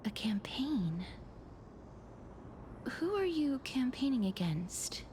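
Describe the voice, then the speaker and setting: Slow-spoken, solemn young priestess. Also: female voice, high voice, very animated, slight background noise, heavily acted. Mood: solemn, pensive. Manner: measured, polite, soft. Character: calm solemn gentle young priestess in a fantasy game. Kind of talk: video game story dialogue.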